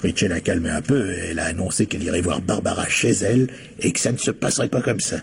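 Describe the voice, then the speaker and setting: A man's voice: deep voice, quick pace, creaky, a little hiss or hum. An actor playing a gossipy film-studio informant in a French radio crime play, colloquial and emphatic in his telling. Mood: scornful gossip.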